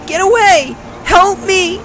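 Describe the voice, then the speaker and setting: An actress playing a panicked, shrieking victim in a comic-book audio drama. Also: female voice, extremely high pitch, slow, a bit bright, slight background noise, exaggerated voice. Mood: terrified. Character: terrified female victim screaming for help.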